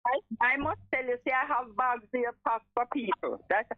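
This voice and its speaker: Radio caller, female, late seventies, Jamaican. Forceful and repetitive, fairly high-pitched, over a narrow phone line. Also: moderate pace, no room sound. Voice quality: strong voice. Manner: emphatic, repeats phrases for force. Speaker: radio call-in listener. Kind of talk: impassioned live phone-in talk. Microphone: telephone. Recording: thin, narrow telephone line.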